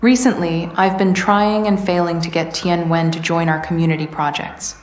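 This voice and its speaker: An actress playing a composed, pragmatic community leader briefing the player in a video game. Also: female voice, fairly low pitch, fairly even intonation, normal speed, echoey room, faint music behind, lightly acted. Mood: practical, persuasive. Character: pragmatic female community leader handing out missions. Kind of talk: video game character dialogue.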